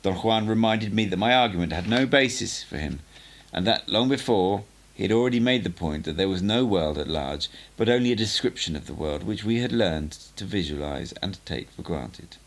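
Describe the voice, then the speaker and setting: A man narrates a book aloud in a low voice with a gravelly creak. His intonation moves around widely, and he reads in a measured, emphatic way, like an amateur audiobook reader telling a story. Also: lively intonation.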